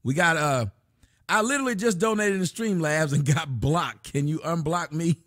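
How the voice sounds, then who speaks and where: Man, livestream host, slightly high voice, profane and casual, dry close mic. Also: normal speed, a bit bright, dry recording. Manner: loose, profane, reads donations and chat aloud. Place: small indoor room at a desk.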